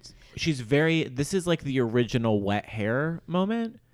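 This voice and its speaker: A man co-hosting a casual pop culture podcast, telling things in an animated way and acting out little asides. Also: mid-range voice, normal speed. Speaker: podcast co-host.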